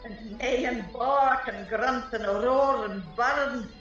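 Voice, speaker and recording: A high-voiced mischievous sprite played by a woman taunts in slow rhymed verse with menacing relish. It is Shakespearean radio drama, heard distant and drenched in echo. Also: a bit bright. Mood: gleeful menace. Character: gleeful mischievous shape-shifting sprite taunting mortals. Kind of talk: Shakespearean radio play acting. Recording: distant, very echoey, dull audio, music behind.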